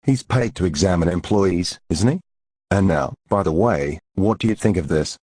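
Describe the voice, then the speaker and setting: An actor playing a fussy male department store manager in a radio drama, with a husky voice. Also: low voice, lively intonation, moderate pace, dark tone, no echo.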